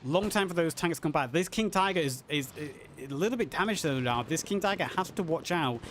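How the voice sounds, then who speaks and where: Male live-match caster, voice going hoarse, pitched a bit high, light background noise. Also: fairly quick. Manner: excited, builds to high pitch on big plays. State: losing his voice. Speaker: esports caster. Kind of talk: live game commentary.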